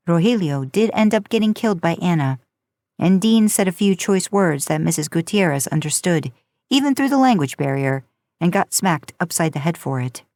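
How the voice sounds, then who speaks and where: A woman narrates scripted fiction with an informal, conversational touch. Her voice sits somewhat low. The recording is very dry, with little room sound around her. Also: a little mellow, dry recording. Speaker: podcast host narrating fan fiction. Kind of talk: scripted story narration, audiobook style.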